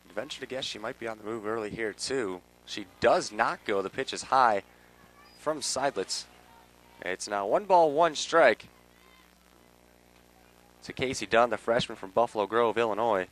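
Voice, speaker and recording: Bright-voiced male radio play-by-play announcer. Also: mid-range voice, low-quality recording. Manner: measured between plays, bursting into excited calls on big plays. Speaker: play-by-play sports commentator. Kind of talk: live radio softball play-by-play commentary.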